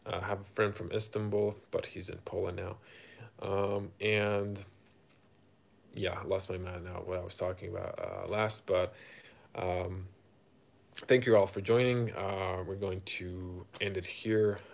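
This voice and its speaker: A man from Croatia with a Croatian accent, casually chatting to viewers as a car reviewer on a live stream, halting and thinking aloud. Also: deep voice, little pitch movement, vocal fry. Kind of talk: casual unscripted chat with viewers.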